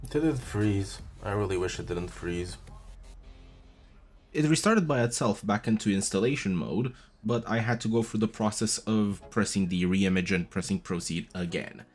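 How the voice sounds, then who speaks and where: A man in his thirties casually walking viewers through a device setup step by step, chatty and patient, recorded at a desk with a boom mic. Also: moderate pace, soft background music. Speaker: tech video host. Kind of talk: casual tutorial walkthrough. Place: small room at a desk. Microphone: studio mic on a boom arm, wearing headphones.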